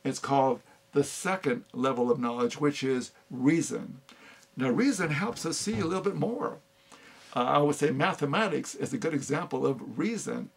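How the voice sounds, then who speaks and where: An older man giving an unscripted talk on philosophy, halting often with fillers and restarts. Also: medium pitch, gravelly, slightly rough. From United States. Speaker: speaker on philosophy.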